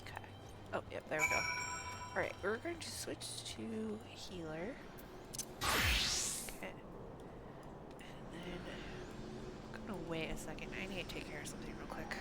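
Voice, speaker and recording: A woman's voice: lowish voice, a little slow, long pauses, over loud music. A woman streaming a game, chatting casually and muttering informal asides to herself as she plays. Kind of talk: casual livestream chat while playing. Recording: faint background noise.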